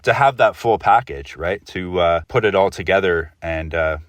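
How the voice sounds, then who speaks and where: A man's voice: low voice, normal speed, no room sound, studio quality. A man hosting a casual interview, asking loose, meandering questions in a conversational way.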